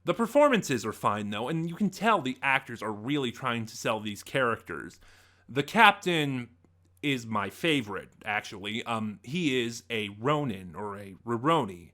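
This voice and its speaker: Bright-voiced man giving a casual, animated review. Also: medium pitch, moderate pace. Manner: conversational, opinionated, thinking aloud. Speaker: reviewer, host of a review channel. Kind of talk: casual spoken audio drama review.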